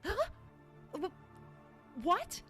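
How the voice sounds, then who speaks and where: Ambitious, haughty young female unicorn played by a woman, high voice, boastful delivery, pony fan drama. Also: very animated, very slow, music in the background, full character voice. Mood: frustrated. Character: vain, ambitious young female unicorn student, entitled and jealous. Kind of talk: animated fan audio drama.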